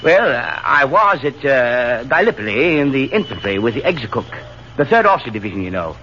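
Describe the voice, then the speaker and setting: A cheery Australian army lieutenant. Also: male voice, medium pitch, very animated, brisk, pushed and bright, a little hiss or hum. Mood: cheerful. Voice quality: jovial, chatty, hesitant fillers. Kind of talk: 1930s radio drama acting. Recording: dull old radio recording with faint music.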